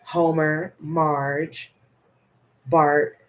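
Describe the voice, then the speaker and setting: Congested, nasal woman chatting slowly. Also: low-pitched, little pitch movement. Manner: chatty, rambling, frequent "okay?" check-ins. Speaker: laundry pickup and delivery business owner. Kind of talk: casual story-time vlog.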